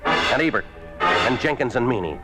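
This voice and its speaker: An actor playing a domineering Communist Party boss in a 1950s radio drama, clipped, commanding and coldly sarcastic. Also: male voice, medium pitch, expressive, moderate pace, natural voice. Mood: stern, impatient. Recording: old 1950s radio broadcast, music behind.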